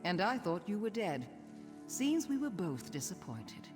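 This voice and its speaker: A woman's voice: mid-range voice, very animated. A shrewd female rogue from a video game, dry and sure of herself. Her intonation moves around a lot, with faint music behind. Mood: dry, barbed. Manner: dry, brisk, confident. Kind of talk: scripted video game dialogue.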